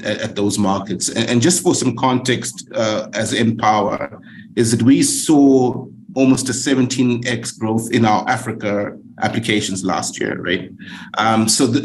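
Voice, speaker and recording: A man's voice: lowish voice, rough recording. A middle-aged man from Zimbabwe giving a webinar talk, with many fillers and repeated words. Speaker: guest speaker for a student lender. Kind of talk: webinar presentation.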